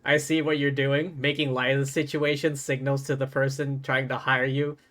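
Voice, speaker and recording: A man's voice: medium pitch, level tone, normal speed, fairly bright tone. A man livestreaming a game, reading dialogue aloud and adding playful, wry, casual asides. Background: game music.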